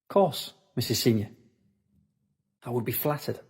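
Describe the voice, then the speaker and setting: An actor playing a deferential young Victorian stonemason in a period audio drama, humble and respectful. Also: male voice, medium pitch, lively intonation, moderate pace, breathy edge. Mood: modest, polite.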